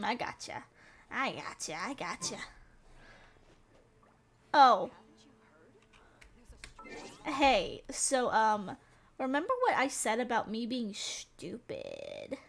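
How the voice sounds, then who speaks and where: A young woman with a Southern American accent and a high voice, streaming a game and chatting with her viewers. She giggles a lot and keeps the talk playful and loose. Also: a bit bright. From Southern United States. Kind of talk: casual chat while gaming.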